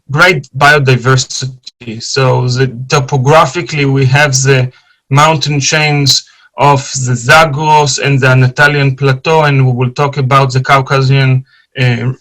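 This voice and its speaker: A man's voice: medium pitch, low-quality recording. A man with an Israeli accent giving an online archaeology lecture over a video call, eager and full of fillers and restarts. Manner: enthusiastic, fast when excited, frequent fillers and restarts.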